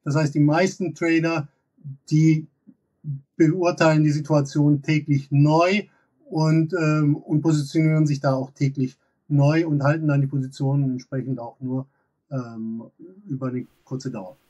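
A man in his thirties giving analytical market commentary as a guest expert, pausing often with fillers and restarts. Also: a little high, little pitch movement, mellow, recorded from a distance, muffled recording. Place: office with glass wall.

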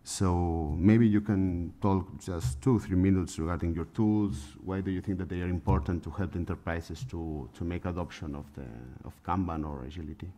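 A middle-aged man moderating a conference panel, introducing speakers in halting phrasing with fillers, into a table microphone. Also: low-pitched, steady tone, dark tone. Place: conference room on a panel stage.

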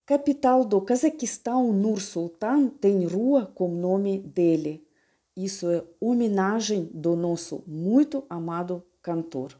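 A woman with a Russian accent giving a calm, measured explanation. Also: mid-range voice. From Siberia, Russia.

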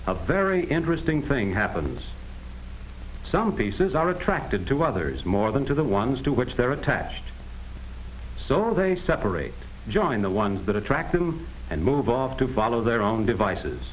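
An American man reading scripted 1940s educational film narration in a measured, explanatory manner on a vintage soundtrack. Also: medium pitch, a little hiss or hum, low-quality recording. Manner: measured, explanatory, addressing the listener directly.

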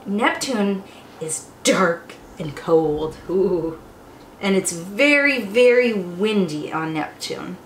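A young woman hosting storytime as a teacher, reading aloud to small children in an expressive, exclamatory way. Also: mid-range voice. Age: young adult. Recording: roomy echo, faint background noise.

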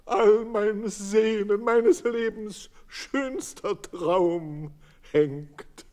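A man voicing a melodramatic, grieving old widow in a drawn-out theatrical lament from a storybook. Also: high-pitched, a little mellow, voice pushed up. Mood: grief-stricken.

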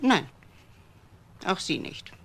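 An older actress playing a wealthy, bitter widowed mother in a German crime radio drama, speaking deliberately and dramatically with pauses. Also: a bit bright. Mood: guarded, firm. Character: old wealthy widowed mother, bitter about her son.

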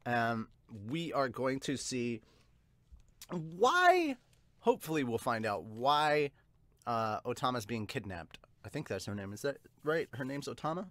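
A man in his thirties hosting a casual reaction, amused and animated, speaking into a close desktop microphone at home. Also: medium pitch, measured pace, dry recording. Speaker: host of a manga dub and reaction channel. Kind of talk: casual reaction chat mixed with dramatic read-aloud. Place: small room at home with bookshelves and a couch. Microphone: close desktop studio microphone.

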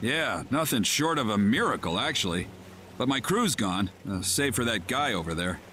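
A man's voice: mid-range voice, sing-song, normal speed, sharp tone, soft background music. A man voicing a laid-back, wry sea captain who gives advice in a video game. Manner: casual, wry and talkative. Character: laid-back middle-aged male ship captain. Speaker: ship captain.

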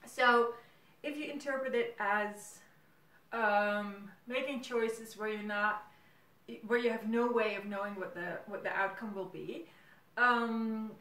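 A middle-aged woman, a native Dutch speaker, chats casually to camera as host of her own series. She feels her way through thoughts with frequent hesitation, in a mid-pitched voice. The sound is roomy, picked up from a few feet away. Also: steady tone, slow, sharp tone. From Netherlands. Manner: hesitant, thinking aloud. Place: small room at home, indoors. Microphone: camera several feet away. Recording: roomy, distant camera microphone.

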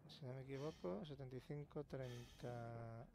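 A man's voice: mid-range voice, normal speed, vocal fry. A man with a European Spanish accent giving casual gameplay commentary, muttering and thinking aloud. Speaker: let's play host. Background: loud game music.

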